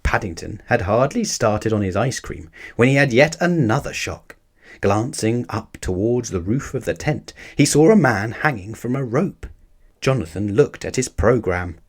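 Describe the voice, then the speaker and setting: A man reading a children's picture book aloud as an expressive storyteller for young listeners. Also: lowish voice, normal speed.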